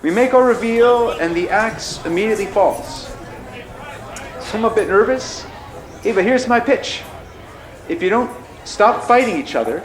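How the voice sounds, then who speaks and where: A man's voice: high voice, a little mellow. A man playing an affable, nervous alien who poses as human, a pacifist pessimist speaking in wry, rambling, conversational asides in an audio drama. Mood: wry, candid, then nervous, earnest. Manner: informal, rambling, self-interrupting, wry asides. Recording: roomy echo, music underneath.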